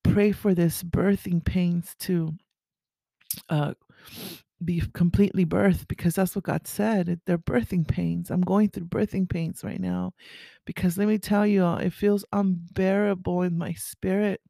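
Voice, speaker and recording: Female podcast host, raspy and creaky, earnest, halting delivery for a personal faith monologue. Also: fairly low pitch, fairly even intonation, mellow, dry recording. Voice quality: raspy early-morning voice. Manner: halting, earnest, with throat clearing.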